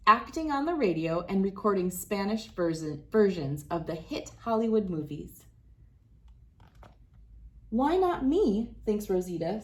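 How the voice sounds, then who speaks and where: A woman reading a children's story aloud as host, with dramatic, deliberate phrasing and clear emphasis. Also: fairly low pitch. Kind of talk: expressive picture-book read-aloud.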